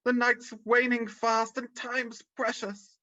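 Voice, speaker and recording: A man's voice: very high voice, little pitch movement, slow pace, pushed and bright, full character voice. A man playing a frightened, penitent old miser in a radio drama, recorded over a video call. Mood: fearful resolve. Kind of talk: vintage radio drama acting. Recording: dull video-call audio.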